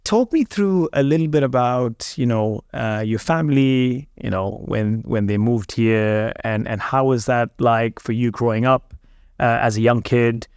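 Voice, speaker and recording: A man hosts a podcast and asks his guest open questions in a conversational way. His voice sits at an ordinary pitch and sounds dry and close to the mic. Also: a little slow, darkish tone, clear, resonant tone, no room sound. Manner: conversational, reacts with short interjections, some repeated starts. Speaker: podcast host. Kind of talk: podcast interview.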